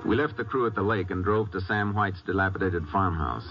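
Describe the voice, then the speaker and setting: Low-voiced laconic Texas Ranger. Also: male voice, fairly quick. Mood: measured narrating. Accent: American. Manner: laconic, understated. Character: calm, shrewd Texas Ranger investigator, male. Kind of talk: 1950s radio western acting and narration. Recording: noisy, dull old radio recording.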